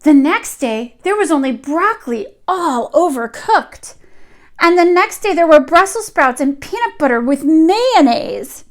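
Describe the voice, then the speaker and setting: High-pitched woman reading a children's story. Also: very animated, unhurried. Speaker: children's story reader, teacher. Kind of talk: read-aloud children's storytelling.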